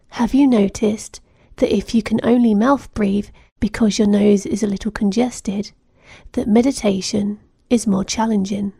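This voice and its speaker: A woman narrating a guided meditation in a soothing, gentle manner, giving measured instructions with soft phrase endings. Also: medium pitch, creaky, breathy edge, no echo, studio quality.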